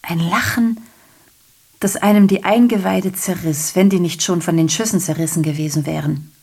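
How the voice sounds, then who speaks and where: An actress playing a garrulous, self-pitying messenger in a German radio play, giving a digressive, theatrical monologue that keeps correcting itself. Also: female voice, lowish voice, moderate pace, vocal fry, darkish tone, husky. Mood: confiding, rueful. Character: garrulous, self-pitying messenger reporting a night of revelry and shootings.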